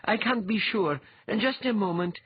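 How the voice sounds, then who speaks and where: A man voicing an intense, shrewd young woman seer in an audiobook, with an earnest manner and husky voice. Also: high-pitched, moderate pace, muffled recording, a little hiss or hum, pitched above the performer's own voice, lightly acted. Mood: absorbed.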